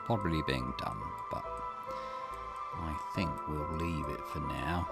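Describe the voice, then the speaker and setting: A man's voice: extremely low pitch, a little slow, creaky, loud music behind the voice. A man giving casual let's play commentary as a gaming host, musing aloud as he goes.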